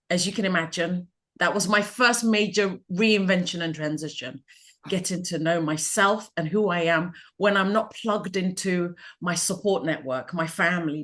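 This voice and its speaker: A middle-aged woman from Ghana, speaking calmly and thoughtfully about her life in an interview. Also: fairly low pitch. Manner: calm, measured, thoughtful. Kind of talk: reflective personal interview.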